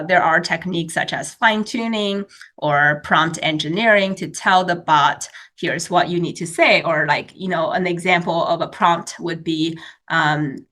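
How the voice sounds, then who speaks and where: A woman's voice: deep voice, bright, forceful tone. A woman whose first language is Chinese, explaining research in a webinar presentation with many fillers and restarts, speaking over a video call.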